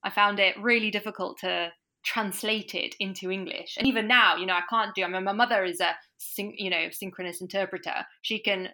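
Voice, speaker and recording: A woman's voice: medium pitch, fairly even intonation, fairly quick, sharp tone. A woman guest in a casual interview, talking thoughtfully with many restarts. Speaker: language course provider, guest.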